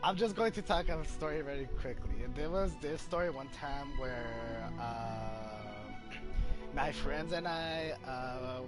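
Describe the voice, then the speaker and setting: A man chatting casually over gameplay, telling personal stories in a rambling, hesitant way. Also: fairly high pitch. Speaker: gaming streamer. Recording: game music behind the voice.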